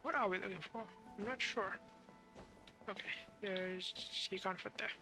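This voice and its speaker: A woman's voice: medium pitch, moderate pace. A woman giving casual live gameplay commentary as a streamer. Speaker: game streamer. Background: game music.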